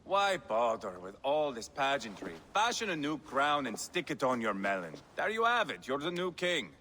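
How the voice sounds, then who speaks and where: A man's voice: mid-range voice, sing-song, normal speed, bright, forceful tone, slight background noise. A man playing a brash, foul-mouthed, hot-tempered Viking warrior in a video game, with a mocking, theatrical delivery. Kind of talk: dramatic video game acting.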